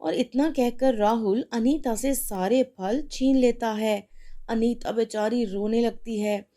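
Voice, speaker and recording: Slow-paced woman storyteller. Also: on the high side, fairly even intonation, warm tone. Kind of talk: scripted children's story narration.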